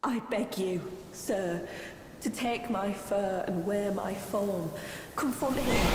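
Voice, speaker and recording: A woman playing a sorrowful fox spirit in a game, telling her tale in a formal, beseeching manner. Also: medium pitch. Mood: pleading. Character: sorrowful, pleading female fox spirit in human guise. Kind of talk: dramatic game voice acting, storytelling. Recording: long hall reverb, clear background noise.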